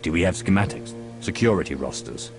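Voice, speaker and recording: A dark lord of a sci-fi empire in a game cutscene. The voice is very low, composed and solemn, giving orders with authority. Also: male voice, expressive, fairly quick, gravelly, soft background music. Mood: businesslike. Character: commanding male Sith lord of an evil empire, composed and solemn. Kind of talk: video game cutscene acting.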